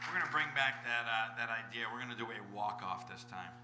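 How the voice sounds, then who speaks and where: A man's voice: medium pitch, quick pace, a loud crowd, heavy background noise. A man moderating an informal live stage show, giving setups and cues to performers. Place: convention stage before an audience. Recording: echoey hall with music behind.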